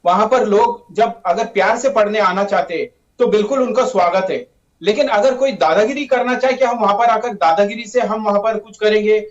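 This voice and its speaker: Male political panelist, high-pitched and insistent, arguing his case through a dull, distant mic. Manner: insistent, repetitive, uses tag phrases. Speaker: political party spokesman, debate panelist. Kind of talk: political debate argument. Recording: roomy, dull, distant microphone.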